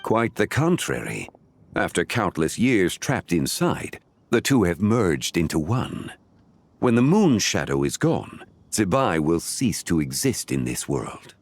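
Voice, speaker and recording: An actor playing a composed, learned sage in a video game, speaking in a formal, measured, explanatory manner. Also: male voice, deep voice, sing-song, heavily acted. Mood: solemn, reassuring.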